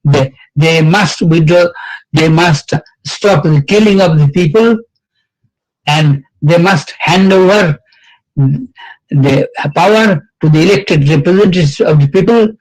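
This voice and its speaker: An older man giving a reminiscing speech at a commemorative event, slow and halting with many fillers and restarts. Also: a little high, a little mellow, rough recording. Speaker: event speaker.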